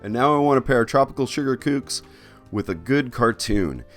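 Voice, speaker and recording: A man's voice: medium pitch, animated, normal speed, soft background music. A man hosting an art video as an artist, with scripted but conversational narration. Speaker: host, artist.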